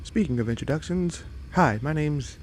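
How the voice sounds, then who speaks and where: A man's voice: a little high, animated, a little hiss or hum, close to an everyday voice. A man playing a friendly, curious fox-boy monster in a roleplay audio drama, hesitant and apologetic as he addresses the listener. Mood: shy, friendly. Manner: hesitant, halting, apologetic, wide-eyed.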